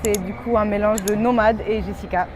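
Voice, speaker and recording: A woman's voice: medium pitch, steady tone, fairly quick. Woman interviewee over noisy urban ambience. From born in Belgium, grew up in West Africa. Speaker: entrepreneur being interviewed. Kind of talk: casual interview answers.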